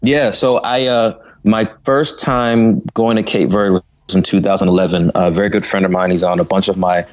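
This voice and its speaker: A man's voice: low voice, moderate pace, few pauses, lo-fi sound. A man from Connecticut, a guest musician, talking casually in an interview with lots of "you know" fillers. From United States (Connecticut). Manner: relaxed, talkative, frequent fillers like "you know". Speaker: guest singer and saxophonist.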